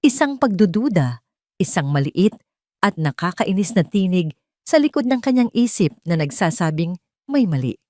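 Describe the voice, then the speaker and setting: A Filipino woman narrates a dramatic story from a script. Her voice sits fairly low, and the sound is dry and close. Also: very animated, normal speed, dark tone, dry recording.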